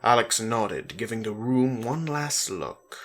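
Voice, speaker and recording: A man narrating a story as a slow, deliberate dramatic reading. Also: mid-range voice, animated, a bit bright.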